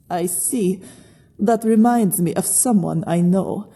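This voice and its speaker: A woman voicing a guarded, wry traveler from foreign parts in a fantasy game, reserved, measured and dryly amused. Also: mid-range voice, warm tone, breathy edge. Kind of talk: fantasy game character dialogue.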